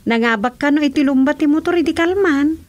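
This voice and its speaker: Woman as a high-voiced Ilocano village daughter. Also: fairly quick, natural voice. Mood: informative, concerned. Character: chatty village daughter confiding news to mother. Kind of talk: rural radio comedy drama acting.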